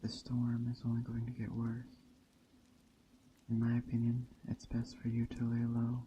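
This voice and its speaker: A man playing a calm, hospitable witch of a woodland coven in a gentle, unhurried ASMR roleplay that drifts into soft whispers. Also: mid-range voice, lo-fi sound, noisy background, lightly acted. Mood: welcoming, calm. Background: rain and forest ambience.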